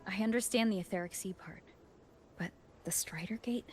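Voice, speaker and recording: A woman's voice: mid-range voice, moderate pace, a little mellow, a little hiss or hum, natural voice. An actress playing a curious young female traveler in a video game, asking short questions. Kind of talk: scripted video game story dialogue.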